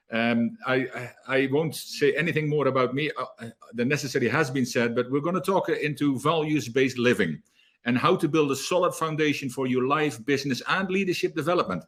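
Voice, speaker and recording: An energetic male speaker giving a keynote on leadership, his voice sitting a little higher than most men's. He talks with drive and often restarts phrases, doubling a word before carrying on. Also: a little fast. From South Africa. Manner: energetic, repeats words while thinking. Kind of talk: online keynote talk.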